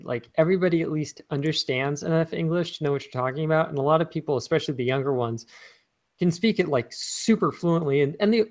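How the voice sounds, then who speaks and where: A man co-hosting a casual talk show, rambling with lots of filler words. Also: a little high. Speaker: co-host. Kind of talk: casual chat.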